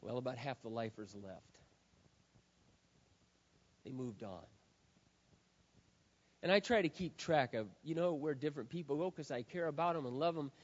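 A man preaching a sermon as pastor, hoarse, swinging from quiet earnest talk to loud impassioned shouting. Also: medium pitch, lively intonation, normal speed, pauses often. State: hoarse, says he has lost his voice. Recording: dull sound with long hall reverb.

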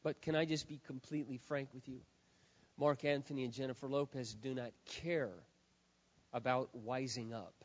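A man preaching a sermon as pastor, hoarse, swinging from quiet earnest talk to loud impassioned shouting. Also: mid-range voice, steady tone, moderate pace. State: hoarse, says he has lost his voice. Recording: dull sound with long hall reverb.

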